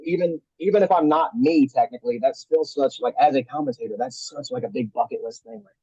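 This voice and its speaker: Guy w a dark husky voice, wrestling commentator chatting, kinda muffled dull mic. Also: fairly high pitch, dry recording. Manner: enthusiastic, talks in quick bursts with restarts. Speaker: wrestling commentator, podcast guest. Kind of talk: casual chat.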